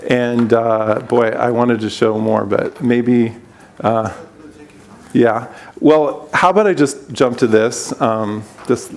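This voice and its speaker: A man's voice: mid-range voice, a little mellow. Upbeat man presenting at a forum. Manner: enthusiastic, quick, loose phrasing. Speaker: conference speaker. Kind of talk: conference presentation.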